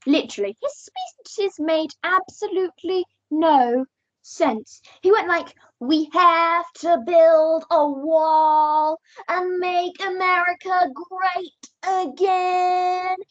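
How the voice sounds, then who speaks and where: A woman's voice: very high voice, steady tone, slow. A young English woman acting in an amateur radio play as a bossy, opinionated aunt recounting past events dramatically. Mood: mocking. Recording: dull lo-fi home recording.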